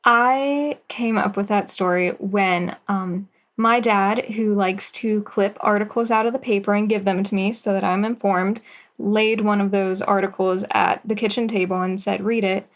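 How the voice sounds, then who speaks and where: A young woman talks easily and brightly about her work as a guest, laughing now and then. Her voice sits in a middle range, and the recording is somewhat muffled. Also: medium pitch, fairly even intonation, moderate pace. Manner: chatty, upbeat, with light laughter. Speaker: guest writer and actress. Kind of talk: casual interview.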